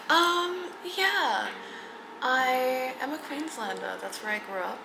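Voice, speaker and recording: Australian woman, 30s, shy vlogger, creaky tone, roomy noisy home recording. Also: sits high, animated, slow pace. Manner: hesitant, many ums, soft giggles, drops to near whisper at times. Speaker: content creator. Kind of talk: casual get-to-know-me vlog. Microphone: camera mic.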